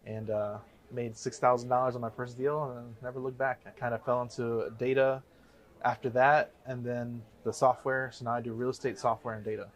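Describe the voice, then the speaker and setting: Casting: man in his thirties from the Chicago area, quick rambling storyteller, mid-pitched. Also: little pitch movement. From Chicago area, USA. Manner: rambling, self-correcting storyteller. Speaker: guest, real estate investor. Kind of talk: casual interview talk.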